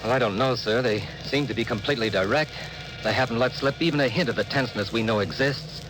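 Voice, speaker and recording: An actor playing a thoughtful, cautious ship's psychologist, hesitant and considered, in a 1950s radio drama. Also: male voice, medium pitch, fast, over music, close to an everyday voice. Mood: cautious, uneasy. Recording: noisy old 1950s radio broadcast.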